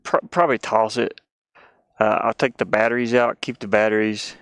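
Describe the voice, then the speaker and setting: A man's voice: lowish voice, level tone, normal speed, a bit bright, clear, resonant tone, dry recording. Scattered, casual man streaming, dry close sound. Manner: scattered, self-deprecating. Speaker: live streamer. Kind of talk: casual rambling chat. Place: inside a small cluttered van.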